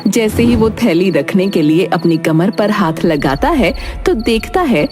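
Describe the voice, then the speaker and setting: A woman reading scripted narration for a children's moral story in an expressive storytelling way. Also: mid-range voice, a little slow, soft background music.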